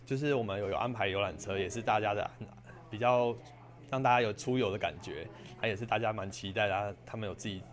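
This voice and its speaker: A man's voice: fairly low pitch, fast. A man with a Taiwanese Mandarin accent, an event organizer answering in an interview. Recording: busy chatter behind the voice.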